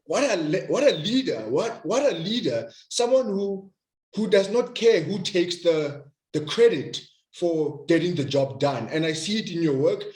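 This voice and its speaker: Enthusiastic man hosting a video call interview, fairly high voice, echoey room. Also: expressive, unhurried, darkish tone. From South Africa. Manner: effusive, enthusiastic, some stammered restarts. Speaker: host. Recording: roomy echo.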